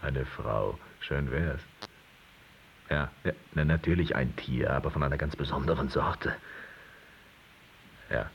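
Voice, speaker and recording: A laconic man in a German radio drama. His voice is extremely low and creaky, muttering slowly. The sound is dull and hissy. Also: heavily acted. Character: laconic man reporting on a difficult case.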